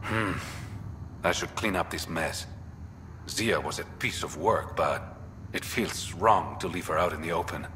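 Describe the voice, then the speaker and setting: An actor playing a smooth, wry, roguish smuggler in a space video game, with a teasing, self-mocking manner. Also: male voice, sits low, unhurried, sharp tone, slight background noise. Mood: wry, suave. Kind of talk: video game dialogue acting. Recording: music behind the voice.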